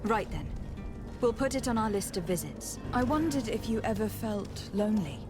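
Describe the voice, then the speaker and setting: An actress playing a courteous, earnest young noblewoman diplomat in a video game, with measured, formal speech. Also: medium pitch, animated, over music, close to an everyday voice. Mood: calm. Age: young adult. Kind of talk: scripted video game acting.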